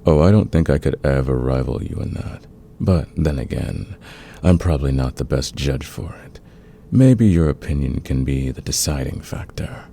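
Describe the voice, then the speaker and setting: A man playing a smug, flirtatious fantasy villain in a romantic ASMR roleplay, teasing and intimate with a husky, gravelly voice. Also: very deep voice, dark tone, slight background noise. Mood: confiding, smitten. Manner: teasing, intimate, drawn-out confiding delivery. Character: flirtatious, smug male fantasy villain, secretly smitten rival.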